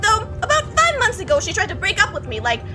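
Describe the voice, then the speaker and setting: A woman's voice: extremely high pitch, huge pitch swings, quick pace, over music, pitched above the performer's own voice, full character voice. Woman putting on a chattering, oblivious creepy young guy. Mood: boastful, rambling. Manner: rambling, breezy, casually menacing. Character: talkative, oblivious, creepy young male bus passenger. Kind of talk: acted visual novel dialogue.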